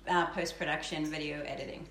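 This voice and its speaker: A woman's voice: deep voice, little pitch movement, fairly quick, fairly bright tone, echoey room, slight background noise. Name: woman telling a story. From New Zealand. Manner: laughs often, rambling anecdotes. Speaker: guest. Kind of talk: casual storytelling before a live audience.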